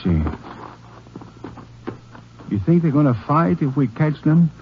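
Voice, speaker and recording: Higher-voiced Mexican tracker. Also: male voice, expressive, takes it slowly. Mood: alert, curious. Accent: Mexican Spanish. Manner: deferential, simple broken English. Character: deferential, observant Mexican tracker helping a Ranger. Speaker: radio drama actor. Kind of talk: 1950s radio western acting. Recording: muffled, faintly noisy 1950s radio recording.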